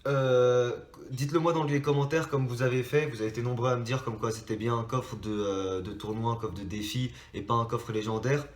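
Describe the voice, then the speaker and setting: A man's voice: mid-range voice, fairly even intonation, a little fast, echoey room. A young Frenchman hosting a drawing channel, talking casually to his subscribers with a stuffy nose from a cold and lots of hesitant restarts. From France. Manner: rambling, many hesitations and restarts.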